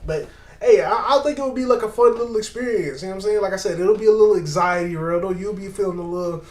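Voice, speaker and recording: A man with a fairly high voice chats through a reaction video in a loose, slangy way. He is lively and repeats points for emphasis. The sound is roomy and echoey. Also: fast talker. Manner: animated, slangy, repeats himself. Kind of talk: casual reaction commentary.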